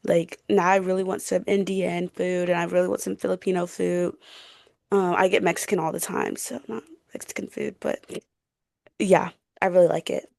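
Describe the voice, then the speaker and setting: A woman's voice: on the low side, little pitch movement, moderate pace, creaky, darkish tone, no echo, studio quality. A young woman in a casual book chat as a podcast co-host, gushing, slangy and playful.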